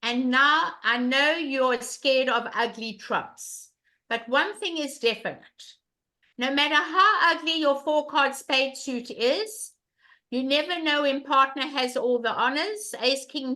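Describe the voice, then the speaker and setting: Loud, bright-voiced older woman teaching bridge. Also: high voice, slow. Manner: emphatic, repeats questions to the class. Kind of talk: online bridge lesson.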